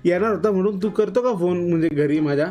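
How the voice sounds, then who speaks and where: This is a young man with a distinctly high-pitched voice. He is agitated and insistent, chatting in slang as on a prank call, while light music plays behind. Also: fast talker, warm tone. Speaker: prank caller on a live stream. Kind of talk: casual slangy phone chat.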